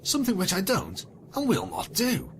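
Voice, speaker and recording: A man's voice: sits high, huge pitch swings, normal speed, a little hiss or hum. A man reading fan fiction as a narrator, theatrical, with sarcastic, wry asides. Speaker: narrator and commentator.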